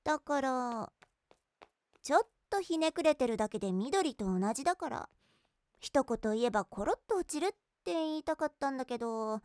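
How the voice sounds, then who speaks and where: 女性声優が、のんびりしていて人をからかうのが好きな女子高生を演じている。. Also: female voice, sits high, lively intonation, moderate pace, darkish tone, no echo. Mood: easygoing. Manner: playful, teasing. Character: teasing, easygoing high school girl. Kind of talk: voice drama acting.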